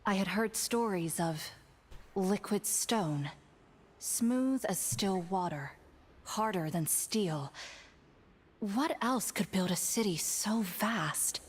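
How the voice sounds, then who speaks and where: A woman playing a solemn, dutiful guardian, narrating a motion comic in a measured, grave and reverent manner. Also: mid-range voice, animated, slightly rough. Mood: quiet wonder.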